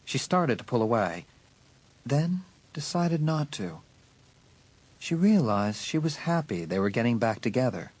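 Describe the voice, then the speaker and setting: A man narrating a horror audiobook in a dramatic, suspenseful way with deliberate emphasis. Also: medium pitch, sing-song, rough recording.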